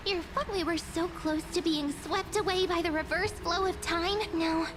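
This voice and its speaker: Very high-pitched, excitable game girl. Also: unhurried, background noise. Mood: urgent, excited. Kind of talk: animated video game voice acting. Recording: music behind. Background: game music.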